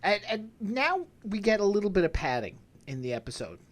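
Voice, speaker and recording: American guy cohosting a podcast, animated pitch swings, chatting casually about tv episodes. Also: fairly quick. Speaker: podcast co-host. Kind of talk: casual chat recapping a TV episode.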